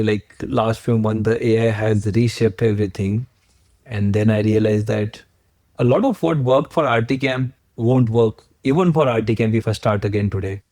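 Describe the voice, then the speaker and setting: Mellow-voiced Indian man explaining patiently. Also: mid-range voice, no room sound. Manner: earnest and explanatory, building points step by step with examples. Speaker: agency founder, podcast guest. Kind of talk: podcast interview.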